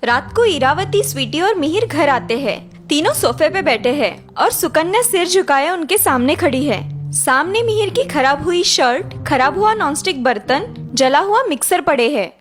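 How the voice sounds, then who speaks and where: A woman's voice: sits high, expressive, moderate pace, faint music behind. A woman reading scripted narration for a cartoon family story.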